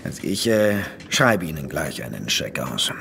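An actor playing a courteous, hesitant gentleman collector in an audio drama, wry and given to hemming. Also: rumbling low, very animated, gravelly, slight background noise, full character voice. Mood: polite, content. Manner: deliberate, hemming, polite and wry. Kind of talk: German horror audio drama acting.

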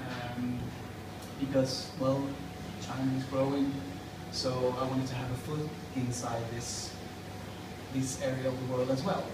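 A man's voice: mid-range voice, very noisy. Swedish man, echoey hallway, far mic. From Sweden. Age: 30s. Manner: thoughtful, hesitant with fillers and restarts. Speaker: interviewee. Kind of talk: casual interview answers. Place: school corridor with plastic chairs. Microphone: far camera.

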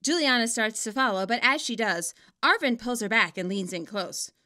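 Bright-voiced woman narrating, lively and expressive. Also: a little high, moderate pace. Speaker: author narrating own fan fiction. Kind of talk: expressive scripted story narration.

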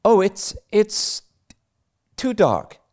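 A man's voice: high-pitched, very animated, unhurried, voice pushed up. A man voicing an eager, imaginative young girl in a storybook, breathless, pleading and melodramatic. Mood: reluctant, desperate. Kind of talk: storybook character reading.

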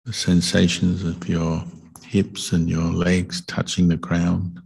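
A man leading a guided meditation as a teacher, speaking calmly and gently with deliberate pauses between short phrases. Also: deep voice, gravelly, breathy edge. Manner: calm, deliberate, gentle instruction with long pauses. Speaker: Buddhist monk meditation teacher.

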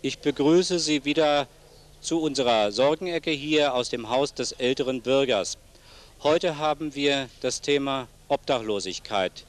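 A man's voice: medium pitch, measured pace. A middle-aged man hosting a local TV interview, speaking politely and formally into a handheld microphone. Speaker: host interviewing a guest. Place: seated among plants in a senior citizens' centre. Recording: noisy old TV video sound.

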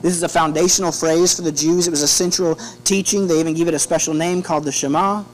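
A man's voice: on the high side, quick pace, rough recording. A man in his thirties preaching a sermon in an earnest, conversational way, often restarting his phrases. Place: church sanctuary, indoors at a pulpit. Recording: steady electrical hum behind the voice.

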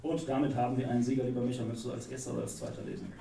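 A man's voice: medium pitch, huge pitch swings, rapid-fire, gravelly. Middle-aged male emcee, playful announcer, roomy far-off hall sound with noise. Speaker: slam host. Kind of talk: live event hosting. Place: theatre stage. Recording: echoey hall from a distance, audible noise.

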